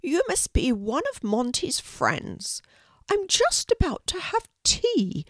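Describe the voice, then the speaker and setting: A woman voicing a gracious, kindly elderly queen with a gentle, genteel manner in a storybook reading. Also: high-pitched, huge pitch swings, slow, no room sound, studio quality, voice pushed up, exaggerated voice.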